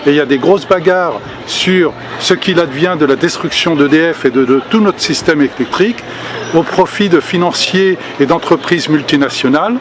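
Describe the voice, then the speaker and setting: A man's voice: on the high side, normal speed. An older man speaking at a public meeting, earnest and hesitant, with many fillers and restarts. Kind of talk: spontaneous political speech. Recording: outdoor recording with street noise.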